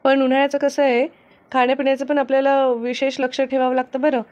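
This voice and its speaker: A woman's voice: high-pitched, monotone, fast, a little mellow, natural voice. A woman voicing a friendly, sensible village woman giving advice in a cartoon comedy, with a rural Maharashtrian accent, on a dull recording. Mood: advising. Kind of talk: animated cartoon comedy dialogue.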